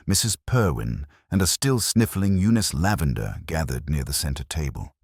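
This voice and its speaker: Very deep-voiced male storyteller. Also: no echo, studio quality. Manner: measured, literary storytelling. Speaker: narrator. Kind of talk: scripted audiobook narration of a gentle mystery.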